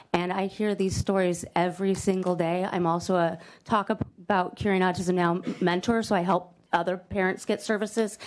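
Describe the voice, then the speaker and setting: A woman, a paralegal and parent, giving a brisk, confident public comment. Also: mid-range voice, darkish tone, rough recording. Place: state hearing room.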